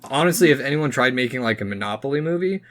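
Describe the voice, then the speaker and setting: A man's voice: medium pitch, lively intonation, fast talker, fairly bright tone. Laid-back man in casual podcast chat. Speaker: podcast co-host.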